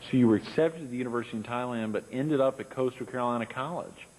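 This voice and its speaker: A man's voice: medium pitch, quick pace, a little mellow, low-quality recording. Curious male interviewer asking questions. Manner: inquisitive, follows up with short reactions.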